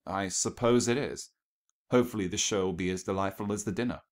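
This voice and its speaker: A suave young gentleman unicorn from a storybook, played by a male reader. He speaks in a low register with polite, easygoing courtesy. The delivery is smooth and conversational. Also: fairly quick, natural voice. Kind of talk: storybook character voice in fan fiction reading.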